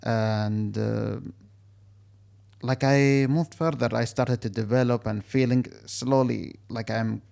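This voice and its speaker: Creaky-voiced man, halting. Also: mid-range voice, fairly even intonation, a little mellow. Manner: halting, searching for words. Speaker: interview guest. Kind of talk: personal story told in conversation.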